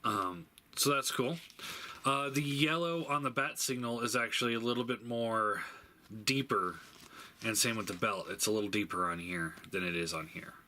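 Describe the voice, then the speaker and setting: A man giving a casual, unscripted product review, thinking aloud in a halting way and stating blunt opinions. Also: medium pitch, sharp tone. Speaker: toy reviewer. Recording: faint background noise.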